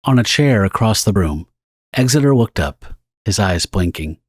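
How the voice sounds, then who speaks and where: A man reading scripted, dramatic story narration for an audio drama in a husky voice. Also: lowish voice, animated, moderate pace, dry recording, clean studio sound.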